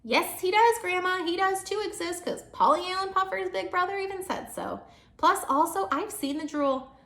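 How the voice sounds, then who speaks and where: An excitable little girl, played by a woman reading a storybook, pitched very high and loud. She pleads and insists in a childlike, stubborn, anxious way, and the room around her is echoey. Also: normal speed, full character voice. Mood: insistent. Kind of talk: children's storybook read-aloud.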